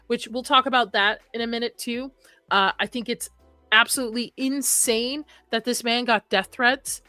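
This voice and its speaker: A woman's voice: a little high, bright, forceful tone, no room sound. A young adult woman hosting a horror livestream, chatting casually and reading comments, rambling and laughing often; her first language was Arabic.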